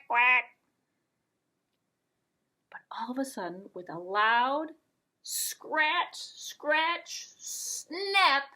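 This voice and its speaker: Woman storyteller, highish voice, very lively intonation, deliberate, expressive, children's puppet show narration. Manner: expressive, deliberate, with playful sound words.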